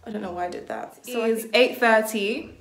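A woman's voice: a little high, normal speed, slight background noise. Chatty young woman in an echoey room. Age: young adult. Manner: chatty and rambling, often hedging and correcting herself. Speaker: lifestyle vlogger. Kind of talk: casual vlog chat to camera. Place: small rooms at home, bedroom and kitchen. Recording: roomy echo of a small room.

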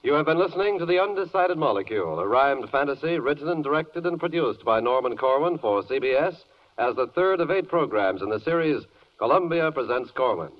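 A man's voice: mid-range voice, lively intonation, a little fast. A man announcing formally from a script in 1940s radio style. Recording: muffled old 1940s radio broadcast. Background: music.

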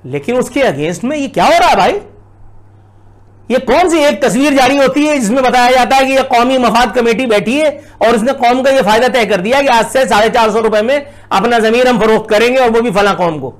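A man's voice: extremely high pitch, brisk. A middle-aged Pakistani man giving indignant, sarcastic political commentary full of rhetorical questions. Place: indoors, plain blue backdrop.

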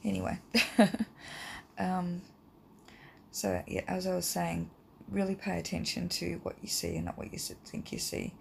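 Croaky woman teaching drawing, rambling. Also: on the low side, measured pace, a little hiss or hum. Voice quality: croaky, gravelly. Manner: relaxed and rambling, many ums. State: croaky, hay fever. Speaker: art teacher. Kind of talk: casual drawing tutorial voice-over.

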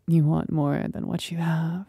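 Woman acting a slow, husky whispering demon temptress. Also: sits low, flat delivery, mellow, no echo, clean studio sound, exaggerated voice. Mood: tempting. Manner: slow, breathy whispering with deliberate pauses. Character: seductive, mocking demon companion, patient and manipulative. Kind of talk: whispered ASMR roleplay.

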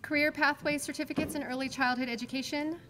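High-voiced woman reading graduate names. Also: fairly even intonation. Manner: slow, measured reading of names. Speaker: commencement name reader. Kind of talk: formal announcing of graduate names. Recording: with music in the background.

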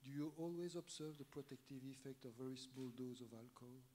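A man's voice: medium pitch, brisk, mellow, a little hiss or hum. A man moderating a conference panel, posing questions while stumbling and repeating words. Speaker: session moderator.

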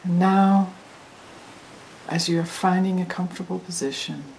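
A woman leading a guided meditation as a hypnotic induction, soothing and often dropping to a breathy near-whisper. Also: fairly low pitch, moderate pace, rough recording. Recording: hissy recording with steady noise.

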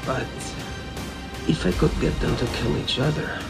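Subdued film villain: heavy creak, unhurried, solemn, bitterly vengeful. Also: male voice, medium pitch, expressive. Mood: grieving, bitter. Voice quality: gravelly. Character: grief-hardened, quietly vengeful male schemer. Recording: film clip under loud music.

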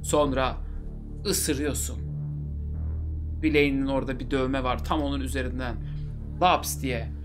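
A man's voice: medium pitch, fairly even intonation, unhurried, pauses often, over music. A man in his thirties reading a visual novel aloud on a live stream with joking asides, into a headset mic. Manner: joking asides between narration. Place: home streaming room.

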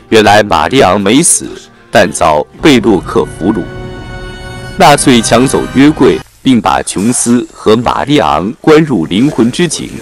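A man reading a scripted film plot summary as a narrator. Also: on the low side.

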